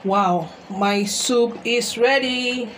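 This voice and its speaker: Slow, high-voiced young Nigerian woman. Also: a bit bright, slight background noise, rough recording. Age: young adult. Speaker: cooking vlogger. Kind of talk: casual vlog chat to viewers. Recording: music over the voice.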